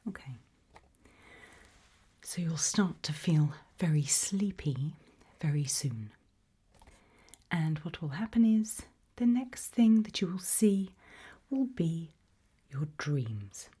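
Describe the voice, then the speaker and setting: A British woman speaks in a very breathy ASMR whisper, low and soft-toned. She plays a calm sleep clinic practitioner, taking her time with slow, gentle reassurance. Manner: gentle, soothing, unhurried reassurance.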